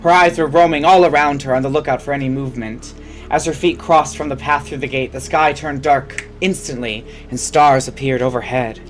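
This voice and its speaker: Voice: medium pitch, steady tone, a little fast, low-quality recording. A host reading a comedic story aloud theatrically, with asides and self-corrections. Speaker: fan fiction reader and host. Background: music.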